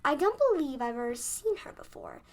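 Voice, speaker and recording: A woman's voice: high-pitched, animated, a little fast. A girl of about ten playing a proper, composed woman shop owner in a school radio play, speaking formally. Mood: polite, composed. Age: child of about ten.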